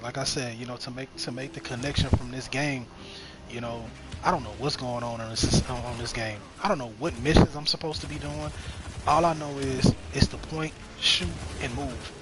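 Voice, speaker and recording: A man's voice: medium pitch, fairly even intonation, normal speed, over music. A man in his twenties talking reflectively about spiritual growth while playing a game, rambling and repeating phrases with frequent "you know what I mean". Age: 20s. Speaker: streamer. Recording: noticeable background noise.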